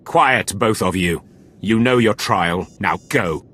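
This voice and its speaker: Husky, sardonic Sith overseer. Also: male voice, lowish voice, slow, a bit bright. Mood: commanding. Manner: wry, deferential to superior, commanding. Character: callous, sardonic Sith overseer of slave trials.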